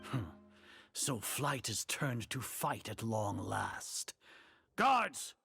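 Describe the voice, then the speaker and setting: Haughty older sage villain in a game, pompous n formal, music underneath. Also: male voice, medium pitch, big pitch swings, extremely slow, vocal fry, sharp tone, heavily acted. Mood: cold, commanding. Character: haughty, scheming older male grand sage. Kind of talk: video game villain acting.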